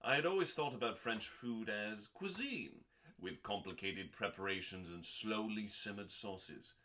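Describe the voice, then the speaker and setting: A man hosting a dramatic scripted reading in a deliberate, theatrical manner with pauses and dry, deadpan delivery. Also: lowish voice. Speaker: host.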